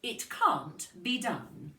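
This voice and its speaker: A woman voicing a stern female dog schoolteacher in a storybook, scolding in a firm, measured way. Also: on the high side, sing-song, sharp tone, exaggerated voice. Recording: roomy, distant microphone.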